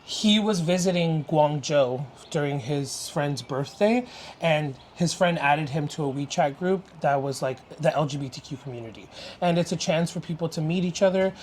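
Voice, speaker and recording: A man's voice: fairly high pitch, normal speed, darkish tone, slight background noise. A young man from Canada, an interview guest talking casually and openly, laughing easily. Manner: open and chatty, laughs easily. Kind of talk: casual chat.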